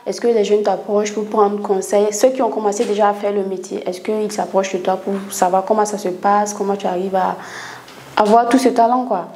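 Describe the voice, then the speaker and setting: A woman interviewer asks long, probing questions and answers the guest with brief acknowledgments. Her voice is mellow and dark, at an ordinary pitch. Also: fairly even intonation, brisk, a little hiss or hum. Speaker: interviewer host.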